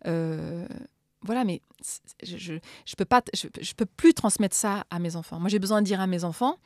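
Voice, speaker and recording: A middle-aged woman from Morocco gives long, reflective interview answers in a lowish voice. She hesitates often and repeats small words while she looks for the right phrase. The recording is dry and close, with no room echo. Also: moderate pace, a little mellow. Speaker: author and political scientist.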